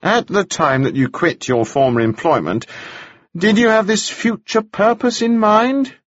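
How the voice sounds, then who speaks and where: A man's voice: high voice, a little slow, no room sound, lo-fi sound, pitched above the performer's own voice. A man voicing a sly male prosecutor who questions a witness with pointed, pleasant-sounding phrasing. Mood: probing.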